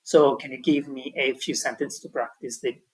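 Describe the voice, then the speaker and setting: Husky man giving a hesitant tutorial. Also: medium pitch, fairly even intonation, normal speed. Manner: hesitant, many ums and restarts. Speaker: language teaching tutor. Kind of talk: explaining an online tutorial.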